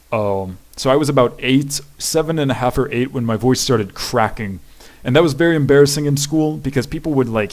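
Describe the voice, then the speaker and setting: A young man with a deep voice talks through viewer questions at home. His pitch sits in a middle range for a man. He rambles loosely, restarting sentences and leaning on fillers as he goes. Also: darkish tone. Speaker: YouTuber answering viewer questions. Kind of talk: casual Q&A chat.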